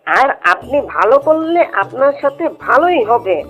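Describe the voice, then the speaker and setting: A woman's voice: high voice, sing-song, normal speed, a bit bright. A woman voicing a sly, hardworking jackal shrimp seller in a Bengali cartoon, with a sing-song vendor's delivery. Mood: calm, righteous. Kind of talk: Bengali cartoon character dialogue. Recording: dull lo-fi audio with music behind.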